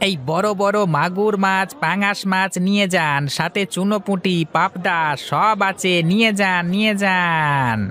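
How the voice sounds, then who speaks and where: A man's voice: high-pitched, a little slow, pushed and bright, voice pushed up. A man voicing a friendly, persuasive village fish seller in a children's cartoon, with sing-song, coaxing patter. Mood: hawking, loud. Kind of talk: Bengali children's cartoon dubbing.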